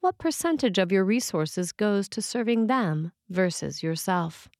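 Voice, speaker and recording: Woman preacher reading from a script, measured phrasing, mid pitch, really dry close sound. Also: expressive, a little mellow, dry recording. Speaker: preacher reading a written sermon for a podcast.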